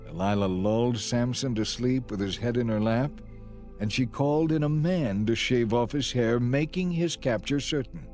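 A man narrating a dramatized Bible story in a measured, scripted storytelling style. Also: mid-range voice, darkish tone, faint music behind.

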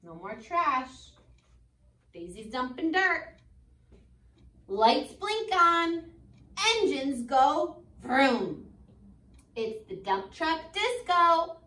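An American woman in her twenties, a schoolteacher reading a picture book aloud to children with expressive, chatty asides. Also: high voice. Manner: animated, expressive, deliberate, questioning young listeners. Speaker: elementary school teacher. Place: school classroom, indoors. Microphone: phone camera a few feet away. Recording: roomy classroom, mic at a distance.

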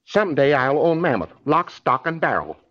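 A man's voice: a little high, big pitch swings, normal speed. An American actor playing an arrogant, self-serving businessman in 1940s radio drama, brusque and impatient. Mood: scornful, brusque. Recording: dull, lo-fi old radio recording.

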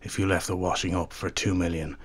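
A man playing a calm, dry devil who judges souls, measured and deadpan in a radio drama. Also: sits low. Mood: dry, matter-of-fact.

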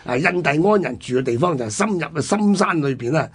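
A man's voice: on the high side, expressive, fast, slightly rough. A man with a Hong Kong Cantonese accent, telling history conversationally as a radio co-host.

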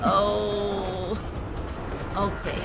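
A woman's voice: mid-range voice, completely flat delivery, very slow, low-quality recording. A woman voicing a tough, proud young heroine in a comedy game dub, complaining bluntly. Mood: defiant, complaining. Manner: complaining, blunt, broken grammar. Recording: music behind the voice.

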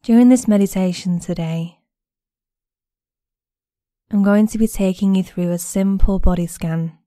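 A woman guiding a meditation in a gentle, soothing, unhurried manner with long pauses between phrases. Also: medium pitch, dry recording, studio quality. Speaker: guided meditation instructor. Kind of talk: guided body scan meditation narration.

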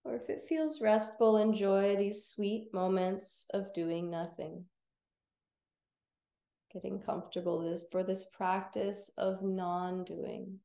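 A woman teaching yoga nidra, guiding relaxation in a gentle, soothing, unhurried manner with long pauses. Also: mid-range voice, fairly even intonation, creaky, reverberant. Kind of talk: guided relaxation meditation.

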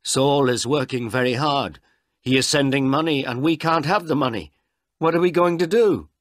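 Man performing a village woman, worried, plaintive, raised pitch, storybook character. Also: normal speed, lightly acted. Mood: worried, unhappy. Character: worried, unhappy village woman pleading over money.

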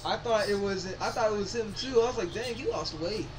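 A man's voice: high-pitched, fairly quick, background noise. A man chatting casually about his old videos, rambling and laughing often, over a console chat mic at home. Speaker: channel owner.

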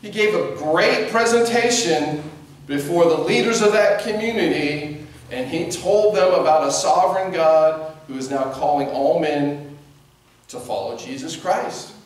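Animated preacher in an echoey church. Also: male voice, on the high side, measured pace, recorded from a distance. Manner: animated, conversational preaching with gestures. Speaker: pastor. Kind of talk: sermon. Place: church sanctuary at a pulpit. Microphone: distant camera mic.

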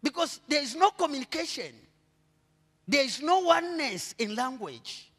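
Zambian man, pastor, high voice, lively pitch, handheld mic, church hall reverb. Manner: preaching with call-and-response questions to the congregation; swings between low murmurs and loud drawn-out proclamations. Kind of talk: sermon. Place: church stage, indoors.

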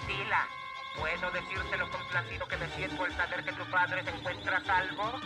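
Un actor con acento latinoamericano que interpreta a un compañero no humano, leal, cortés y formal, en una caricatura doblada. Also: male voice, high voice, little pitch movement, moderate pace, natural voice. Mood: courteous. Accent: Latin American Spanish. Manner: courteous, formal. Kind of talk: dubbed 1980s cartoon acting. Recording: loud music behind dubbed cartoon dialogue.

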